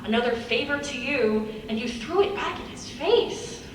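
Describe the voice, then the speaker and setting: A woman's voice: sits high, expressive, moderate pace. A woman acting as a stern, exasperated young woman in a live dramatic reading. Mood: angry, reproachful. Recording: distant echoey hall, clear background noise.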